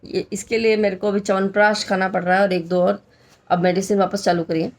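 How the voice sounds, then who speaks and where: A woman's voice: mid-range voice, flat delivery, a little fast. A woman in her thirties from the Hadoti region of Rajasthan, with a mild Hadoti tone, chatting casually in a daily vlog and repeating phrases for emphasis. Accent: Hindi with a mild Hadoti Rajasthani tone. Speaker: vlogger, homemaker.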